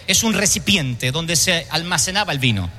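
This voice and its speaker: A man's voice: a little high, animated, normal speed, bright, forceful tone. A man preaching a sermon to a congregation, emphatic and fond of rhetorical questions. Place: church service.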